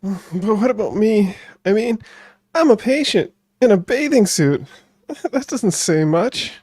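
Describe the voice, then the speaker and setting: A man's voice: high-pitched, expressive, unhurried, darkish tone, breathy edge, no echo, studio quality, pitched above the performer's own voice, full character voice. A man voicing a timid, flustered woman in an amateur sci-fi radio play.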